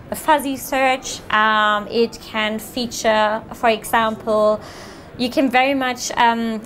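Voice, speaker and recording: Woman presenting software at a meetup. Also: a little high, slight background noise. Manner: friendly, fluent, frequent ums. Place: meetup room with audience.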